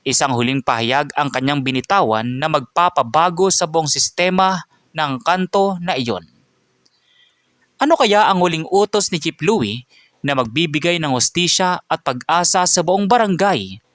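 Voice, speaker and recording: A man's voice: fairly high pitch, normal speed, dry recording, studio quality. Man narrating a dramatic story. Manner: dramatic, even reading.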